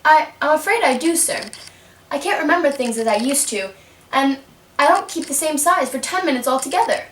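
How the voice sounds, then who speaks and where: A fretful young girl played by a woman chatters her worries aloud in a very high, animated voice. She is polite and unsure, a puppet-show heroine picked up from a little distance. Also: a little fast, slight background noise. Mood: timid, confused. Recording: roomy, distant home microphone.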